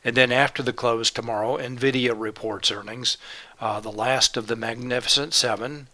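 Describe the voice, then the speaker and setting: A man's voice: medium pitch, steady tone, normal speed, bright, forceful tone. A man presenting a live trading webinar in a methodical, step-by-step way, his voice a little congested from a cold. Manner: methodical, lists steps and items plainly. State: congested with a cold, sore throat.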